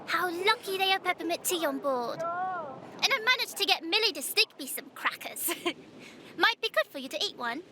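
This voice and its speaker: A woman's voice: very high voice, lively intonation, normal speed, bright, forceful tone, slight background noise, exaggerated voice. A woman playing a spirited, blunt and talkative young child in an audio adventure drama. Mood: cheerful, chatty. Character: spirited, chatty young child on a pirate ship.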